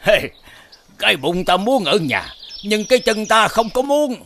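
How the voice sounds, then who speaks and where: A man's voice: high-pitched, very animated, a little slow, sharp tone, a little hiss or hum, full character voice. A man playing a spry, jovial old villager in a folk-tale cartoon.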